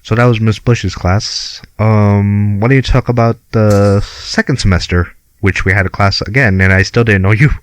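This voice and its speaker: Deep-voiced young man with a Filipino accent. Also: fairly even intonation, normal speed, hardly any pauses, clear, resonant tone. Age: young adult. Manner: laid-back, laughs often, swears casually. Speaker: podcast co-host. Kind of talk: casual chat. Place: at home, remote call.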